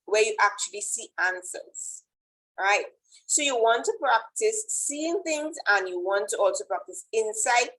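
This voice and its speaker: A woman's voice: fairly high pitch, fairly bright tone, rough recording. A woman with a Trinidadian accent giving an informal motivational presentation as a fitness coach, often checking in with "right?".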